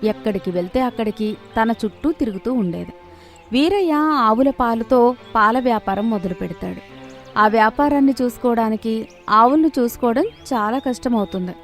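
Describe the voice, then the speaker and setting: A woman's voice: a little high, normal speed. A woman narrating a scripted moral story. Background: faint background music.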